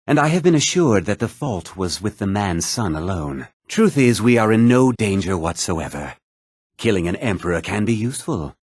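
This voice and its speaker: An actor playing a pompous, self-satisfied emperor in a fantasy game, speaking in a grand, measured manner. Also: male voice, deep voice, darkish tone, husky, no room sound, clean studio sound, heavily acted.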